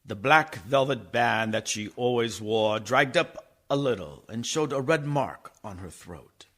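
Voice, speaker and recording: Man acting an earnest doctor, slow and expressive. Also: medium pitch. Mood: grave narration. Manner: formal, deliberate. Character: earnest young doctor narrating his fiancée's decline. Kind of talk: dramatic radio play narration.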